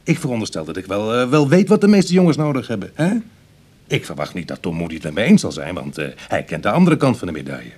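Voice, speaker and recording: An actor playing a wry, sceptical ex-burglar in a radio drama, husky and brooding, with hesitant conversational delivery. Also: male voice, lowish voice, very animated, fast, darkish tone, full character voice. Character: wry, sceptical male ex-burglar on a prison committee. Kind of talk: Dutch radio drama acting.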